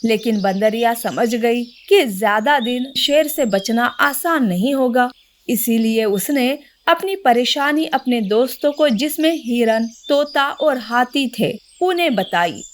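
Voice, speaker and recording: A woman's voice: on the high side, animated, slow pace, slight background noise. A woman narrating a scripted children's story.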